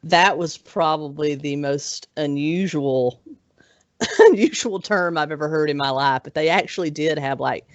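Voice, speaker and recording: A woman in her forties hosting a podcast in casual chat, chatty and quick to laugh. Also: deep voice, no room sound. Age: 40s. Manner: chatty, laughs often, trails off. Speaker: podcast host. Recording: dry, dull lo-fi audio.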